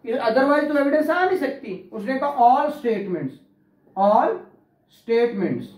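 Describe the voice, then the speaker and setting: A man's voice: very high voice, lively intonation, slow pace, a little mellow, roomy sound, rough recording. A man teaching law to a class, quizzing students, repeating key terms and praising answers. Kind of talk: classroom law lecture with questions.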